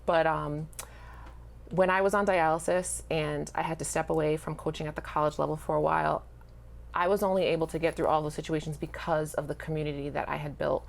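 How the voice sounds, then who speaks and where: Low-pitched, creaky-voiced woman interviewee. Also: flat delivery, fairly quick. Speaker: basketball coach, interview guest. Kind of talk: candid personal interview talk.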